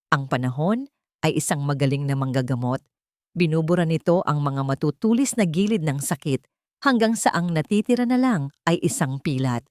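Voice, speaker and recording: Low-pitched woman narrating a dramatic story. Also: no echo, clean studio sound. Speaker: audiobook narrator. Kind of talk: scripted first-person dramatic story narration.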